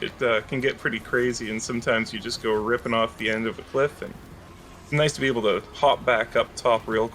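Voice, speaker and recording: A man's voice: medium pitch, a bit bright. Male gaming creator, mid-range voice, casual and instructional build walkthrough over light background noise. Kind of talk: explanatory game build commentary.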